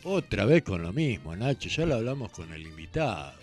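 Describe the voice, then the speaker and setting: Argentine radio guy, normal pitch but really animated, teasing impatient cut-ins, some music behind. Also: normal speed. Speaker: radio show co-host. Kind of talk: casual radio banter.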